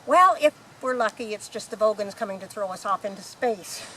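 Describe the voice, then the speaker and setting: A woman's voice: medium pitch, expressive, a little fast, lightly acted. A woman acting a breezy, unflappable space guidebook researcher in a radio comedy play, explaining things casually. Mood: wry, casual. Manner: casual, matter-of-fact explaining. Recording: noisy background ambience.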